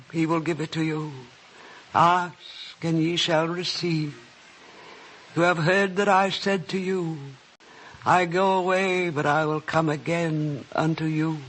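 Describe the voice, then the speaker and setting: An actor playing a solemn scripture narrator who also speaks the words of a grave Jesus, reverent and formal in a radio drama. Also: male voice, high voice, fairly bright tone, full character voice. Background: prominent music.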